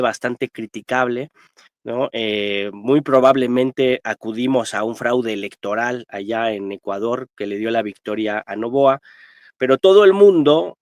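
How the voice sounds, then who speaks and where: A man with a Mexican accent and a mid-pitched voice offers spontaneous political analysis. His delivery is emphatic and militant, peppered with tag questions. The sound is very dry, with no room echo. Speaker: guest historian and political analyst.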